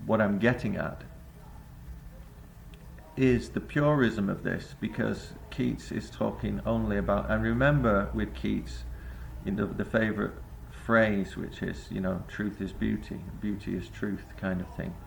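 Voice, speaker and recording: Male vlogger, low and heavily gravelly, rambling reflective delivery full of hesitations. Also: fairly even intonation, a little slow, slight background noise, low-quality recording. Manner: rambling, hesitant, many filler sounds. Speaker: vlogger and busking singer. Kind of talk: reflective spoken essay to camera.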